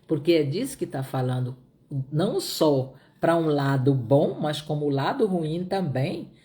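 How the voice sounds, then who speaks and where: A woman's voice: low voice, very animated, a little slow, dark tone. A middle-aged woman from Brazil's Northeast with a Pará accent, teaching on a Bible proverb in a conversational way, often asking her listeners "né?". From Brazilian Northeast (Piauí). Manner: conversational, repeats points, asks listeners "né?".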